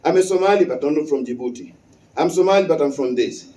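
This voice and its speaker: A man, preaching a Friday sermon as an imam. His voice sits high but sounds mellow, and he repeats his key phrases with emphasis. The mic is some distance away, so the sound carries through an echoing mosque hall. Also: expressive, fast talker. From West Africa.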